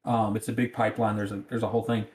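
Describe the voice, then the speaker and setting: A man's voice: on the low side, level tone, rapid-fire, darkish tone. Southern American man in a roomy space. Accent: rural Southern American. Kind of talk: casual chat. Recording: roomy, echoing sound.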